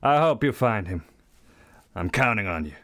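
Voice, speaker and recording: A man's voice: on the low side, sing-song, normal speed, fairly bright tone. A man playing a foul-mouthed drill sergeant. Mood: solemn. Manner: barking, profane, contemptuous. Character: foul-mouthed bullying American army sergeant. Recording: echoey room, long reverb.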